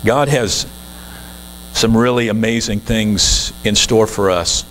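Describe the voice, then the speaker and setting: A man's voice: on the low side, steady tone, slow pace, fairly bright tone, slight background noise, low-quality recording. A man in his sixties, a guest minister, preaching an earnest, conversational sermon from the pulpit of a church.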